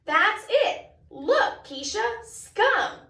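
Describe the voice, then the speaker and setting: A woman's voice: extremely high pitch, sing-song, extremely slow, sharp tone, voice pushed up, exaggerated voice. A woman voicing a worried, reluctant schoolboy in a storybook read-aloud, with a fretful manner. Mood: excited realization. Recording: echoey room, distant mic.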